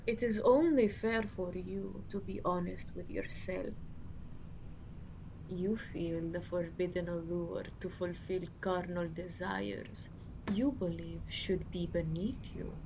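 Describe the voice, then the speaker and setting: Over clear background ambience, an immortal vampire queen speaks very slowly in a lowish, breathy voice that often sinks to a whisper. She is seductive and imperious, with a put-on accent and formal, archaic phrasing suited to an intimate ASMR roleplay. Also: fairly even intonation, background noise. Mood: imperious, alluring.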